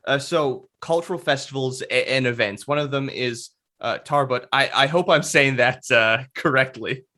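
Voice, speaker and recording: An interview host asking questions in a halting way with many fillers. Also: mid-range voice, sharp tone.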